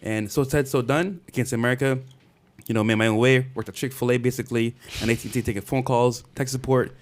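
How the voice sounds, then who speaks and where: A man from Barbados telling his life story as a podcast guest, in relaxed, chatty talk. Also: medium pitch, quick pace. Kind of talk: casual interview talk.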